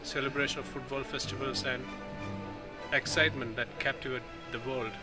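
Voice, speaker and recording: A man filming in an indoor exhibition hall, reading the display panels aloud slowly, pausing between phrases and restarting when he slips. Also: mid-range voice, fairly even intonation. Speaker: video host touring an exhibition. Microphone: handheld phone camera. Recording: loud music in the hall. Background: music playing.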